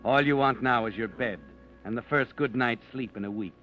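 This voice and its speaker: The Whistler, a sly, all-knowing narrator, as voiced by a man, speaking softly in second person as if inside the listener's head. Mid-pitched over clear musical underscore, on a dull lo-fi old broadcast. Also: normal speed, music in the background, close to an everyday voice. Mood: insinuating. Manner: insinuating, addresses listener as "you". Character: the Whistler, mysterious omniscient male narrator. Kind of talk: 1940s radio narration.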